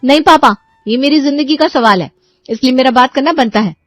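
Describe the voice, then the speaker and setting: A woman's voice: high-pitched, brisk, soft background music, natural voice. A woman voicing a picky, haughty young unmarried daughter in a cartoon story. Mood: haughty, indignant. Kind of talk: Hindi cartoon story voice acting.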